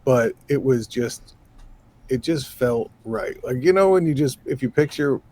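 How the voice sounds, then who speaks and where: Low raspy-voiced man, animated and chatty. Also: measured pace. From New York State. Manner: animated, rambling with fillers. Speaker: guest musician. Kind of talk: casual interview conversation.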